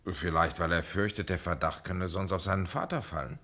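A village doctor in a radio crime play. His voice sits on the low side. He sounds like a knowing man used to authority. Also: steady tone, brisk, bright, forceful tone, breathy edge, heavily acted. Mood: dry, knowing. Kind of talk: German radio crime drama acting.

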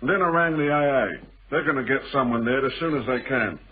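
An English actor playing a smooth, sinister man posing as a stranded traveller in a British radio thriller, breezy, knowing and over-familiar. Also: medium pitch, brisk, close to an everyday voice. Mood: glib, evasive. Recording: noisy, tinny old radio drama recording.

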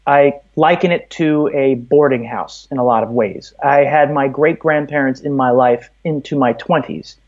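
A man's voice: medium pitch, moderate pace, few pauses, highs cut off. A man from Brooklyn, telling stories conversationally as a podcast guest. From Brooklyn, New York, USA. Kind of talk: conversational interview, storytelling.

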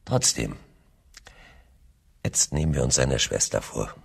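A man's voice: extremely low pitch, very animated, a little slow, vocal fry, slightly rough, exaggerated voice. An actor playing a probing, insistent male chief police inspector in a German radio crime drama. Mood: pensive.

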